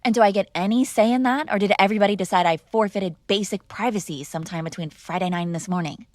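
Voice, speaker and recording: A woman's voice: medium pitch, fast talker, a little mellow, dry recording, natural voice. A woman playing a mob boss's spoiled, defiant daughter in an intimate ASMR roleplay, teasing and sarcastic in a conversational way, close to the microphone. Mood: indignant, challenging. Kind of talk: ASMR girlfriend roleplay, intimate one-sided dialogue.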